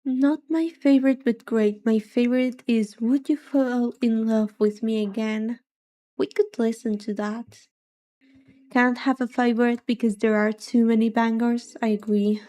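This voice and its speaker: A soft-voiced woman livestreamer chatting casually and reacting, with a musing, hesitant, playful manner. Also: on the high side, steady tone, measured pace. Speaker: livestreamer, vtuber.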